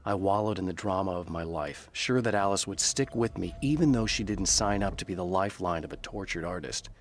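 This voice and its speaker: An actor playing a brooding, tormented novelist in a video game, narrating gravely and with measured delivery like noir. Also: male voice, sits low, slight background noise. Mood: somber, regretful. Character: brooding tormented bestselling crime novelist hero, adult man. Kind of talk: scripted first-person noir narration.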